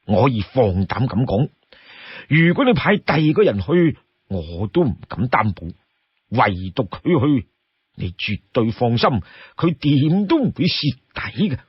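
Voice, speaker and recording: A man's voice: mid-range voice, very animated, unhurried, husky, no room sound, highs cut off, heavily acted. A man voicing an assured, loyal warrior who advises his sworn brother in a storybook tale.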